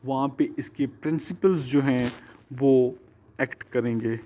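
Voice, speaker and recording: A man with a Pakistani accent lecturing students as a law teacher, explaining deliberately and repeating and rephrasing his points. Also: medium pitch, noisy background. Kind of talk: exam-preparation lecture to students, mixing Urdu and English.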